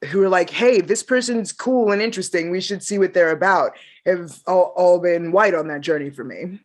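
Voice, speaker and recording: An interviewer who works as a literary manager, speaking in a casual, halting way with fillers. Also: mid-range voice. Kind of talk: conversational interview.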